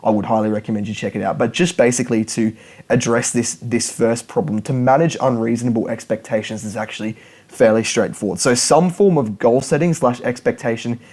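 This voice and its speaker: A young man talks straight to camera in a relaxed, unscripted lesson. He rambles a little, doubling back on words and restarting phrases as he explains. His voice sits at an ordinary middle pitch for a man. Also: fairly quick. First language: English. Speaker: language learning YouTuber.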